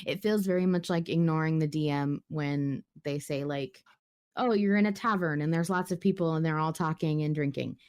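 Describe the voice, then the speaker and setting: A woman's voice: sits low, normal speed, a little mellow. A woman hosting a casual podcast discussion, thinking aloud in a conversational way and sometimes reading out comments. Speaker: podcast host.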